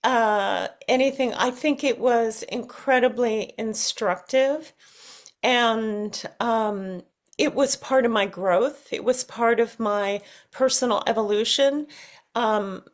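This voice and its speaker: Slow-speaking American woman, higher-pitched voice. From United States. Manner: thoughtful, halting, searching for words. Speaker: interview guest, singer. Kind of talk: reflective interview conversation.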